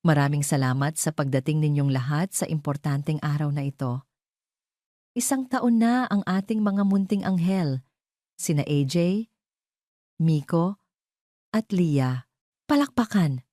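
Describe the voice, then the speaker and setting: Audio drama villainess, done by a female narrator: smug socialite, falsely sweet, theatrical, mellow-toned, close dry recording. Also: sits low, unhurried, long pauses, no echo. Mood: falsely gracious. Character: smug, venomous female socialite villain addressing party guests.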